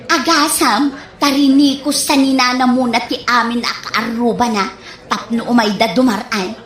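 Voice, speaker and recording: A woman's voice: a little high, fairly even intonation, normal speed, a bit bright, close to an everyday voice. A woman playing a fault-finding, gossipy neighborhood mother in an Ilocano comedy radio drama, with an Ilocano accent. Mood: gossipy complaining. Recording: roomy echoey sound.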